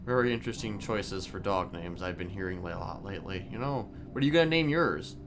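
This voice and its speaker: Man playing an eccentric, needling inmate, wildly swooping pitch. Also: expressive, background noise. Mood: musing. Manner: repeats phrases, halting, drawn-out musing. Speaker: streamer role-playing in an online game. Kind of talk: improvised in-game role-play chat.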